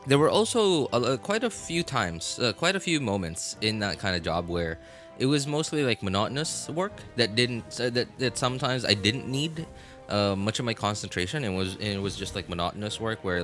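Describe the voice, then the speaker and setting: A man livestreaming games in casual chat, talking in a halting, rambling way and often repeating himself. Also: lowish voice, a bit bright. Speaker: live game streamer. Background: music.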